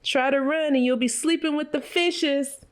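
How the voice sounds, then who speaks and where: A woman's voice: high-pitched, moderate pace, natural voice. A woman playing a sneering, contemptuous gangster underling in a fan-made motion comic, with a mocking delivery.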